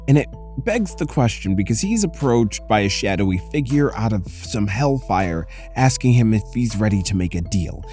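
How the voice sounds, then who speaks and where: Animated, mellow-voiced man recapping comics. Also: lowish voice, normal speed. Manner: animated, conversational storytelling. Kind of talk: casual commentary recap. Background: music.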